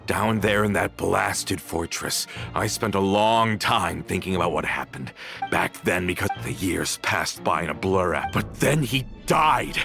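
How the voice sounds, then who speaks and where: A man's voice: animated, a little slow, gravelly, music in the background, exaggerated voice. A man playing a bitter, vengeful game villain who accuses theatrically and nurses an old grudge. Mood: bitter, accusing. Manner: accusing, theatrical, swinging from low brooding to outbursts. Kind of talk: dramatic game cutscene acting.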